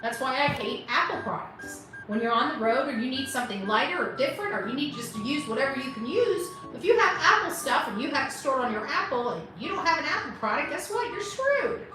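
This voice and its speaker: Woman doing a casual livestream ramble, kinda high voice, a bit off the mic in a really boomy room. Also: sing-song, a little fast, few pauses, recorded from a distance. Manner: rambling, informal, exasperated asides. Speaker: livestream host. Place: indoors, hotel. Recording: very echoey room, away from mic, music behind.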